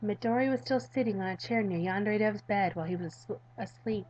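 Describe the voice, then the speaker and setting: A woman with a medium-pitched voice hosts a gaming video. She reads visual novel narration aloud and talks casually to viewers. The sound is a little dull and lo-fi. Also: a little fast, a little mellow. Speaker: gaming YouTuber.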